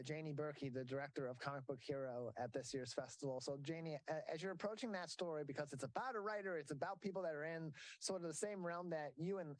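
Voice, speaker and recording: A man's voice: on the high side, no room sound, rough recording. A man hosting a local TV interview who stumbles over fillers and repeats words as he restarts. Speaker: host.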